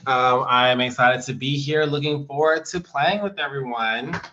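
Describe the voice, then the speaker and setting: A man's voice: medium pitch, a little slow, sharp tone, dull audio, a little hiss or hum. A man in his thirties introducing himself as a contestant in an upbeat, flamboyant way. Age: 30s.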